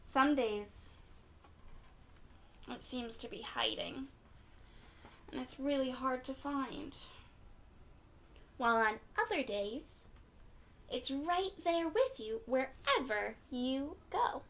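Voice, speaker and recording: A woman reading a picture book aloud to young children in an encouraging, expressive way, often putting questions to her listeners. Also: high voice, slow pace, long pauses. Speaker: children's read-aloud storyteller.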